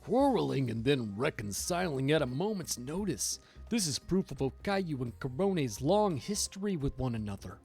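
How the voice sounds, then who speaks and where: A male streamer with a very lively, swooping delivery and a slightly high pitch. He reads a visual novel aloud theatrically and drops in crude, casual commentary, with music underneath. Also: moderate pace, vocal fry, hardly any pauses, husky. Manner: theatrical narration with crude asides.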